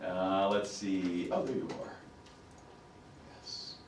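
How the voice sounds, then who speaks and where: A man teaching a class as a professor, his voice raspy right now, talking himself through computer steps. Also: low-pitched, level tone, takes it slowly, roomy sound, far from the mic, a little hiss or hum. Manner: talks to himself while fumbling with the computer. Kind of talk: classroom lecture.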